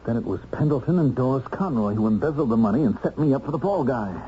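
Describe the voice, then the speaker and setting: An American actor playing an eager wronged husband in a radio mystery drama, questioning and emphatic. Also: male voice, medium pitch, expressive, quick pace, natural voice. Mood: intense, eager. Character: sociable wronged husband, eager to clear his name. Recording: dull, noisy old radio recording.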